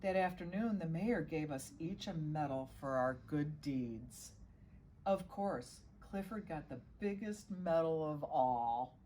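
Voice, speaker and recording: A woman's voice: sits low, lively intonation, takes it slowly, warm tone, a little hiss or hum. A middle-aged woman reading a children's storybook aloud as a guest reader, now and then stumbling and correcting herself.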